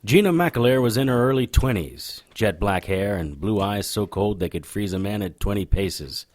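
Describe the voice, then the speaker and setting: A man's voice: on the low side, expressive, moderate pace, a little mellow, lightly acted. A man playing a cocky, wisecracking occult private investigator in an audio drama, wry and irreverent in noir style. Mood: wry narration. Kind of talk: audio drama dialogue and first-person narration.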